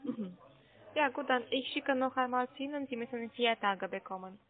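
High-voiced woman on a phone line. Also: normal speed. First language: not German. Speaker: call center agent. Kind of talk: sales phone call. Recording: muffled narrow phone line.

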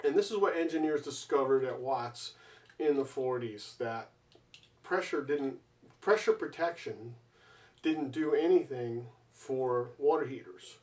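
A middle-aged man earnestly explaining home safety to a camera in a basement, often pausing with fillers. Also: medium pitch, darkish tone, far from the mic. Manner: earnest, gestures with his hands, many fillers. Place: basement beside a water heater, indoors.

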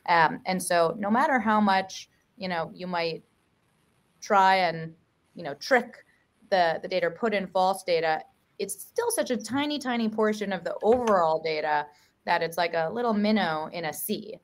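Western American woman investor, 30s, lowish voice, upbeat video-call panelist. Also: moderate pace. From US West Coast. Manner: enthusiastic, uses fillers. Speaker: venture investor. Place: bright room.

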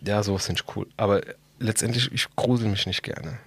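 A middle-aged man co-hosts a podcast in a husky voice, talking in a slangy, enthusiastic way. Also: fairly low pitch, steady tone, brisk. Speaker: podcast co-host. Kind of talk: casual podcast chat.

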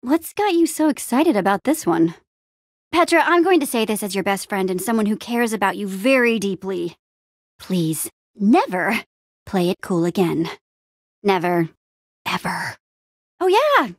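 A woman's voice: medium pitch, sing-song, measured pace, no room sound, studio quality. A woman playing an upbeat, plucky young female adventurer in a video game, with an eager, wry and casual way of talking. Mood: teasing. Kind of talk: animated adventure game dialogue.

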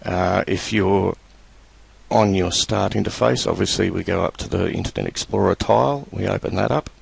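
Male tutorial presenter, husky voice, dry close-mic sound, casual and hesitant delivery. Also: low-pitched, dry recording. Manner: relaxed, halting, many fillers. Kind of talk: screen-recorded software tutorial.

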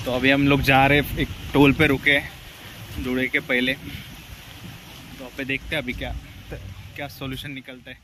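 A man's voice: mid-range voice, little pitch movement, moderate pace, pauses often, slight background noise, poor audio. A man narrating his road trip in a casual travel vlog, chatting as he drives and mixing in English words. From Maharashtra. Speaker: travel vlogger. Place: in a car on the road. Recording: loud music over the voice.

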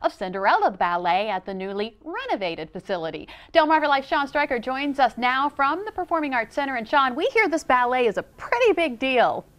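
A woman's voice: high-pitched, sing-song, brisk, hardly any pauses, a bit bright. A middle-aged woman co-hosting a TV magazine show from a studio, reading teases and throws to reporters. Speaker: co-host. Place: TV studio set.

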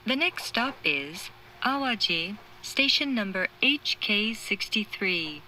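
A woman reading formal, measured scripted train announcements in clearly separated phrases through an onboard speaker. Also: medium pitch, lively intonation, sharp tone, a little hiss or hum, low-quality recording. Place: recorded inside a train carriage.

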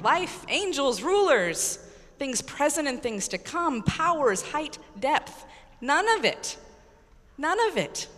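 A middle-aged American woman priest giving an earnest, emphatic sermon with deliberate pauses and repetition. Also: high voice, fairly bright tone. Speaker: Episcopal priest preaching. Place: large church with congregation, indoors. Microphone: pulpit microphone. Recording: echoey church hall reverb.

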